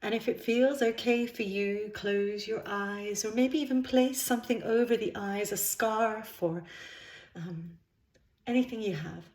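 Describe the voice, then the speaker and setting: A woman leading a guided yoga nidra relaxation as the instructor, speaking in soothing, unhurried phrases with pauses. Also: medium pitch, darkish tone. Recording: roomy, echoing sound.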